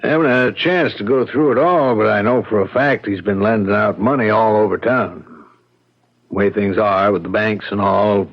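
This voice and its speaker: Worldly frontier attorney, deepish voice, knowing, blunt, folksy, radio drama, dull sound. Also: male voice, normal speed. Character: seasoned, worldly, blunt small-town frontier lawyer. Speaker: actor. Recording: muffled radio drama recording.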